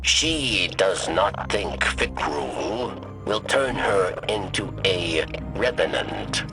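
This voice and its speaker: A measured, dignified alien in a game cutscene. The voice is low and unhurried, formal and halting, with lively turns of pitch and loud music behind it. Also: male voice, full character voice, rough recording. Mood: grave, measured. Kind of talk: video game cutscene acting.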